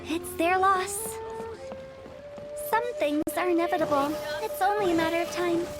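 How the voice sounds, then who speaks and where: Young funeral parlor director, mischievous saleswoman, teasing pitch, very high voice. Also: normal speed, loud music behind the voice. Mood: breezy.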